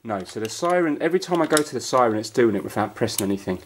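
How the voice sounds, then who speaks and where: A man talks casually through a hands-on job, thinking aloud as he goes in a chatty, unscripted way. He is recorded on a camera at home, with clear noise and clicks around his voice. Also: mid-range voice, lively intonation, rapid-fire. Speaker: host of amateur repair videos. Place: carpeted room at home.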